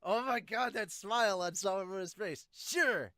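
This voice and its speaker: A man reading manga aloud as an amateur dub and reacting as he goes, expressive in delivery. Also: high voice, moderate pace, dry recording.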